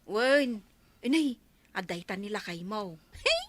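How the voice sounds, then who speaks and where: An actress with a Filipino Ilocano accent playing a chatty village neighbour in a radio comedy drama. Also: female voice, mid-range voice, sing-song, slow pace, no room sound. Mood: amused.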